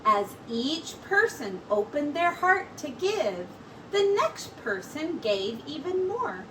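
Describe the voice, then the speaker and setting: A woman teacher reading a storybook aloud to children in an expressive, deliberate way with drawn-out words. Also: sits high. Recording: distant mic with clear background noise.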